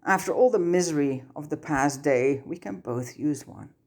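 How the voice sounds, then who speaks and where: A woman's voice: low-pitched, expressive, moderate pace. A woman voicing a kindly but firm man, a wizard teacher and mentor, in an audiobook story. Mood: wry, kind. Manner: gentle, patient, firm.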